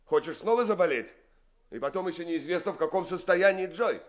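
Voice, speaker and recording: A caring young man reassures the boys, as an actor plays him. His voice sits high for a man. He sounds gentle and friendly. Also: brisk, close to an everyday voice. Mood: reassuring. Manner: warm, reassuring.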